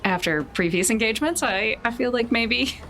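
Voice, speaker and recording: A woman's voice: a little high, moderate pace, fairly bright tone, slight background noise. A woman playing a tabletop roleplaying game, chatting casually in a playful, joking manner.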